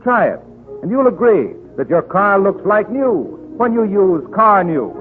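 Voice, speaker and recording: A man's voice: high voice, big pitch swings, measured pace, music in the background. An American man reading scripted copy as a 1930s radio announcer. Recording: tinny 1930s radio broadcast recording.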